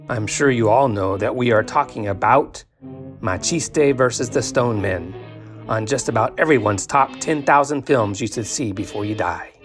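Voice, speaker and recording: A man's voice: medium pitch, fairly bright tone. A man presenting a scripted podcast review segment in a theatrical, tongue-in-cheek way, posing rhetorical questions. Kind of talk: scripted magazine-review narration. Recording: music bed under the voice. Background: guitar music.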